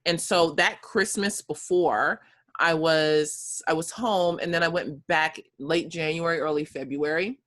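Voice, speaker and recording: A woman's voice: deep voice, fairly even intonation, unhurried, a bit bright. An American woman in her thirties, a guest telling her story in casual conversation, with many fillers and easy laughs. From United States.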